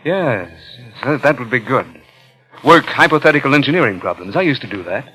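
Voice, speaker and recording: An actor playing a scheming, controlling young killer posing as a hospital interviewer, intense and insinuating, in 1950s radio suspense style. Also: male voice, mid-range voice, lively intonation, brisk, sharp tone, breathy edge, faint music behind, rough recording. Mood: probing, ingratiating. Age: young adult. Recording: old 1950s radio drama recording.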